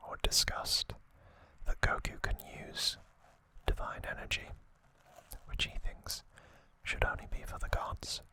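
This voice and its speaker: A man speaks entirely in a breathy whisper, like an ASMR host walking through a story. The delivery is very slow and deliberate, broken into short soft phrases. The whisper has a very bright, crisp edge. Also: poor audio.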